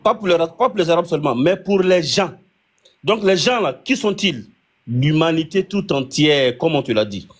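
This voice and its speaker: A man's voice: on the high side, expressive, moderate pace. A man leading a live religious debate, arguing his point with frequent restarts. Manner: argumentative, many restarts.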